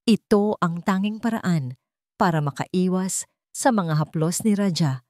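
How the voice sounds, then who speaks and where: A woman with a Filipino accent narrates a romantic drama in a low voice. Her delivery is measured and theatrical, broken into short phrases, and the recording is dry and close. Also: darkish tone, no room sound. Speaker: storyteller. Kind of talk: scripted dramatic narration of a Tagalog love story.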